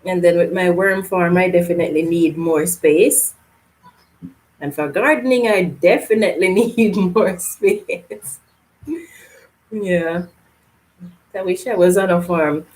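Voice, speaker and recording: Woman from Canada, gardening host, live-stream chat, reads viewer comments aloud, laughs often, lowish voice. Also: slow pace, long pauses, a little mellow, poor audio.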